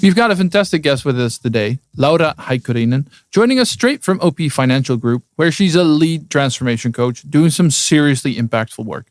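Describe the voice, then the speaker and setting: A man's voice: fairly high pitch, lively intonation, brisk, few pauses, dry recording. Eager man co-host, dry close sound. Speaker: podcast co-host. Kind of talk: scripted episode intro. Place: conference venue.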